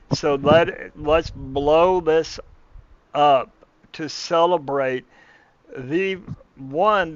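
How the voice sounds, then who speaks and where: A man's voice: a little high, lo-fi sound, noisy background. A man in his fifties hosting a casual online show, teasing his co-host and repeating his words as he talks. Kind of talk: casual chat.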